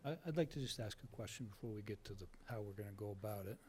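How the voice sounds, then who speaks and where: A board member at a public town meeting, asking direct questions. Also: male voice, on the low side, racing, a little mellow, slight background noise.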